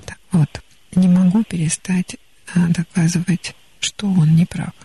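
A woman psychologist counselling a caller on a studio radio call-in show, calm and gently advising. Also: fairly low pitch, a little slow, husky.

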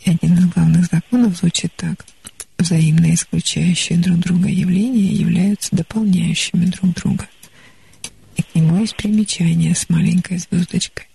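A woman psychologist counselling a caller on a studio radio call-in show, calm and gently advising. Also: on the low side, normal speed, creaky, slightly rough.

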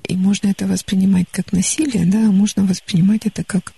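Husky gravelly woman counselling on radio. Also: medium pitch, fast talker. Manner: calm, gently advising, many restarts. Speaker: radio psychologist. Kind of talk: call-in counselling. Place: radio studio.

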